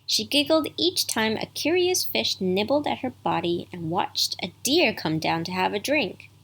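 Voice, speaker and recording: A woman storyteller reads a bedtime story at an unhurried pace. Her voice is husky and sits at a medium pitch. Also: expressive, fairly bright tone. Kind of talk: scripted bedtime story narration.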